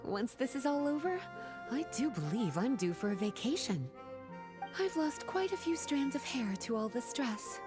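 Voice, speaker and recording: A woman's voice: fairly high pitch, very animated, normal speed. Teasing grown-up librarian lady, video game, languid n flirty, swoopy intonation, music behind. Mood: playful. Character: teasing, unhurried adult woman librarian and researcher. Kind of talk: video game character acting.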